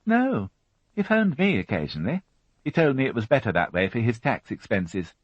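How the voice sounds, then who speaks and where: A man's voice: medium pitch, sing-song, moderate pace, dry recording, voice pushed up. A man voicing a fussy, prim middle-aged housewife who chatters and turns indignant, in a storybook reading. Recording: dull, dry studio audiobook.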